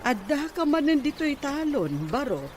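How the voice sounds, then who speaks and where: A man's voice: very high voice, sing-song, measured pace, heavily acted. A man playing a nosy, sympathetic village aunt in an Ilocano radio drama. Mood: sympathetic, meddling.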